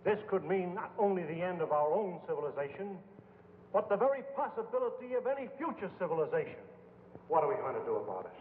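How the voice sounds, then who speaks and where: Embattled film scientist, oratorical and earnest, reverberant thin vintage soundtrack with faint noise. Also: male voice, high voice, sing-song, quick pace. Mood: urgent, foreboding. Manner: earnest, formal, declamatory. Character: embattled, earnest male scientist pleading to save mankind. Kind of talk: 1950s film acting, oratorical. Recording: hollow, tinny old film soundtrack, hiss.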